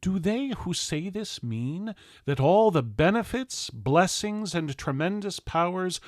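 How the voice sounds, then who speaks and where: A man reading a historical speech aloud as formal oratory, solemn and declamatory, with deliberate pauses between measured phrases. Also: sits high, animated, no echo, clean studio sound.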